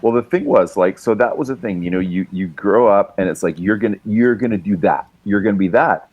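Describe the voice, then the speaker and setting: Middle-aged canadian guy on a podcast, really low voice, dry close mic, rambles and swears a bit. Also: a little fast, a little mellow, no room sound, lo-fi sound. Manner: rambling, laughing, swears freely, many restarts. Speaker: podcast guest, writer and musician. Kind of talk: casual chat, storytelling.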